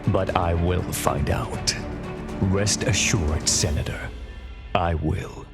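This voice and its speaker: Cold stern navy admiral from a video game, deep creaky voice, very formal. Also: male voice. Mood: quiet menace. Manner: formal, measured, cutting. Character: stern, dignified male navy admiral, coldly disapproving. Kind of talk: video game cutscene acting. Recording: music under the voice.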